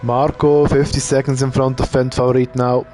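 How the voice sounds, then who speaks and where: A man doing casual live commentary on a sim racing event. He thinks out loud as he talks and uses fillers. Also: medium pitch, moderate pace, faint music behind. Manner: relaxed, thinking aloud, with fillers.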